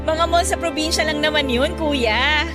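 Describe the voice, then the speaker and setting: An actress playing a hot-headed, defensive young daughter and band musician in a Tagalog radio drama, speaking emotionally. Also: female voice, high-pitched, slow pace. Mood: affectionate. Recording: loud music under the dialogue.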